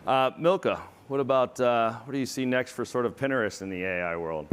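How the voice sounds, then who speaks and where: A man's voice: mid-range voice, brisk, clean tone. A man moderating a panel, asking loose, meandering questions in a conversational way.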